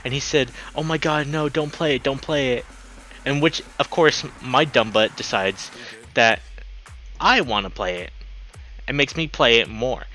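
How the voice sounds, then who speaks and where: A man hosting a game livestream, chatting casually and excitably with his viewers. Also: mid-range voice, unhurried, faint music behind. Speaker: game streamer and host. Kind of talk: casual livestream chat while playing a visual novel.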